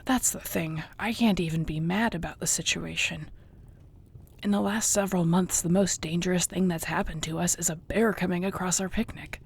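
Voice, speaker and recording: A woman playing an affectionate orc warrior girlfriend in an intimate audio roleplay, speaking softly and tenderly with a husky voice. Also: lowish voice, fairly even intonation. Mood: calm, reassuring. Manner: soft, tender, unhurried, often near a whisper.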